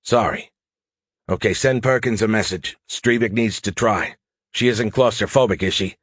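Man playing an earnest military guy, audiobook character, casual, uneasy, slightly low, husky. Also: moderate pace, a bit bright, dry recording, studio quality.

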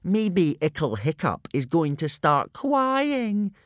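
Man as a storybook boy bully, high voice, mocking, sneering, drawling his taunts. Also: very animated, a bit bright, dry recording, studio quality, exaggerated voice. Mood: gleeful mockery. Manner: crowing, taunting, drawn-out words.

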